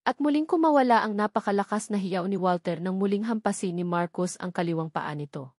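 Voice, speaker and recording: Female audiobook narrator, mid-pitched, reading scripted dramatic fiction in a dry recording. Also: fairly quick, darkish tone, no room sound.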